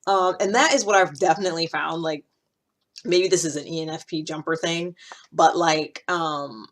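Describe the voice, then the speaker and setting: A woman in her thirties talking casually to camera like a podcaster, in an animated, conversational way. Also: deep voice, moderate pace. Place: small indoor room with a cloth backdrop.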